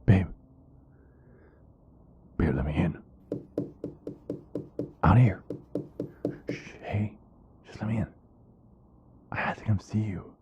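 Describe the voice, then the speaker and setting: A man playing an ardent, nervous werewolf boyfriend in an ASMR roleplay, halting and repeating himself as he pleads. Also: low-pitched, dull audio, heavy background noise. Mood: urgent pleading.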